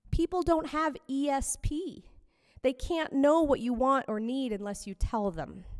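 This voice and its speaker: A woman minister giving a personal, reflective sermon in a measured way. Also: a little high. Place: fellowship hall. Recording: long hall reverb.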